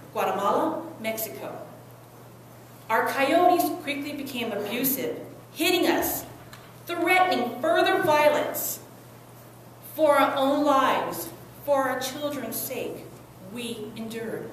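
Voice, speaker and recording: A young woman performing a dramatic monologue as a devoted, anguished Salvadoran migrant mother, speaking earnestly with deliberate, emotive phrasing and pauses. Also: high voice. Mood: sorrowful, resolute, then grim, fearful. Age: young adult. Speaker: student performer. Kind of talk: dramatic stage monologue reading. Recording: very echoey room, distant mic, faint noise.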